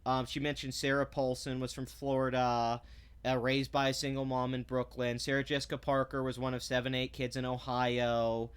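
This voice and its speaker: A man's voice: mid-range voice, little pitch movement, moderate pace, clean tone. A man delivering a sarcastic, blunt commentary rant, swearing freely and stumbling over restarts when he gets heated. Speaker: commentary vlogger. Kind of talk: ranting commentary.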